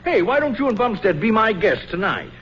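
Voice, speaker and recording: An actor playing a boisterous middle-aged ex-sergeant, hearty and slangy, in a 1940s radio comedy. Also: male voice, high voice, very animated, a little fast, a bit bright. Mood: persuasive, jovial. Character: boisterous middle-aged ex-sergeant club booster, old army pal. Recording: muffled, noisy old radio recording.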